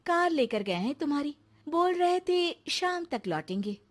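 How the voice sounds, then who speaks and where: A woman's voice: high-pitched, very animated, measured pace. A woman voicing an exasperated young newlywed housewife in a cartoon, polite but fed up. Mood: weary, annoyed.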